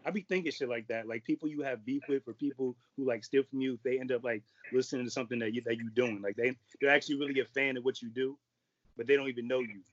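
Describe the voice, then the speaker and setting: Fast-talking podcast co-host, dry sound. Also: male voice, medium pitch, fairly even intonation, no echo, dull audio. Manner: quick, filler-heavy musing. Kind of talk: casual chat.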